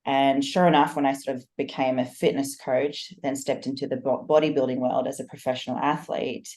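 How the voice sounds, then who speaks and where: Aussie woman, really low deep voice, earnest podcast guest telling her story. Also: steady tone, fairly quick, a little mellow. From Australia. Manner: earnest, reflective, talks in long flowing stories. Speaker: podcast guest, business coach. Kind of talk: personal storytelling in a podcast interview.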